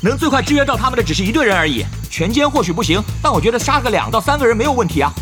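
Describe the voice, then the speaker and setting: A cocky young male gamer swordsman for an audio drama, very high in pitch. He rattles on quickly, repeating himself and exclaiming, a born chatterbox. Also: very animated, over music. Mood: tactical.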